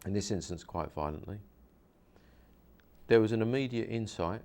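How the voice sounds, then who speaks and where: A man's voice: on the low side, normal speed, darkish tone. A man, a hypnosis practitioner, interviewing a guest and explaining his method earnestly, with many repeats and restarts.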